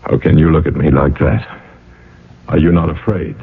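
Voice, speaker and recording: A man's voice: extremely low pitch, steady tone, moderate pace, gravelly. An actor playing a vengeful, anguished ghost of a nobleman in a 1980s radio drama, theatrical and archaic in his speech. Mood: grim, wavering. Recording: dull old radio recording, cavernous reverb, hiss.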